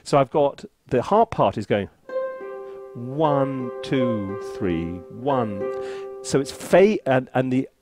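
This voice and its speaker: A man's voice: medium pitch, animated, slow pace, over music. A man giving a casual tutorial commentary as a composer at work, thinking aloud in a playful, self-deprecating way. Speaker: composer reviewing a sample library.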